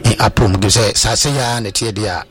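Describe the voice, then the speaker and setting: Halting Ghanaian man analyzing football. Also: on the low side, fairly even intonation, a bit bright. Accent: Ghanaian English. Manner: hesitant, many fillers and restarts, mixes in Twi. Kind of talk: sports radio talk.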